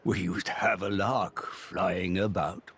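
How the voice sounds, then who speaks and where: A man playing a gentle old talking beast in a video game, with a gravelly, husky voice and a folksy, nostalgic way of talking. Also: low voice, steady tone, heavily acted. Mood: nostalgic, wry. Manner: slow, folksy, unhurried. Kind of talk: video game cutscene acting.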